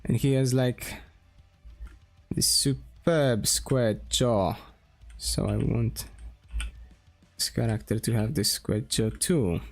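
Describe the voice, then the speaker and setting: A man with a Polish accent talks slowly as he draws, thinking aloud with many pauses and restarts. His voice sits at an ordinary middle pitch. Quiet music plays faintly behind him. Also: creaky. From Poland. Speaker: streamer and game developer. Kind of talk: casual drawing stream talk.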